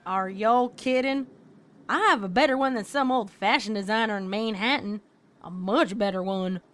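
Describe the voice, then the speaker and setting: A woman's voice: mid-range voice, normal speed, a bit bright, natural voice. A woman voicing a down-to-earth, plucky female farm pony with an American Southern accent and a folksy, plain-spoken manner. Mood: scoffing. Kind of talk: fan fiction character voice acting.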